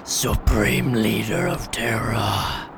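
A man playing a sinister alien agent in a radio drama, persuading in a slow, breathy, menacing whisper. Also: lowish voice. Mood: sinister, coaxing. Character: sinister alien agent offering power and conquest. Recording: noisy ambient hiss.

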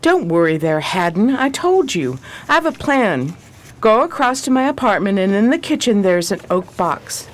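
An actress playing a shrewd, steely adventuress with a sardonic, commanding manner in a 1920s crime radio drama. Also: female voice, mid-range voice, sing-song, normal speed, natural voice. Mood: confident.

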